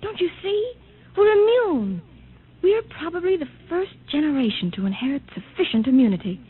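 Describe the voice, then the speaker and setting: An actress in a 1950s radio drama playing a frightened, tender young wife with breathless, melodramatic delivery, on a noisy old recording. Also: female voice, very high-pitched, very animated, normal speed, narrow, tinny audio. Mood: awed, excited.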